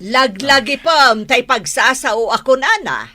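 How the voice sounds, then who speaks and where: A woman's voice: high-pitched, animated, measured pace, sharp tone, full character voice. A woman with an Ilocano Filipino accent playing a nosy, moralizing aunt in a radio drama. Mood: scolding, moralizing.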